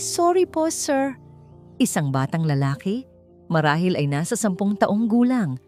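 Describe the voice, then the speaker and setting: A woman's voice: low voice, dark tone. A woman reading a scripted dramatic story as its narrator.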